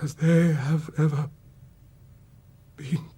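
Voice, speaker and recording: Man acting a solemn Victorian author, raised husky voice. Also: fairly high pitch, fairly even intonation, mellow, pitched above the performer's own voice, heavily acted. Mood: solemn, heartfelt. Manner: solemn, declamatory, measured public address. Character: ailing, dignified Victorian male author bidding farewell. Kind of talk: theatrical dramatised speech.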